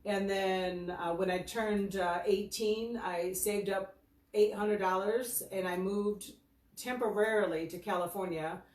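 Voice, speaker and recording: A woman in her fifties with an American accent speaks at a middling pitch. She tells personal stories in a loose, rambling, self-deprecating way, as if on a casual livestream. The sound is roomy and a little distant from the microphone. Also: steady tone, unhurried, a little mellow. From Michigan, United States. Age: 50s. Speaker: host of her birthday livestream. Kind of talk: casual livestream storytelling and question answering. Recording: roomy echo, distant from mic.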